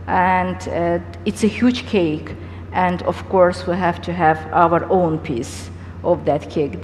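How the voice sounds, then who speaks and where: Slow-spoken woman moderator in a hall. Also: lowish voice. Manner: slow and deliberate, with hesitant fillers. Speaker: panel moderator. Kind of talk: panel discussion. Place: large conference hall. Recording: echoey hall, faint background noise.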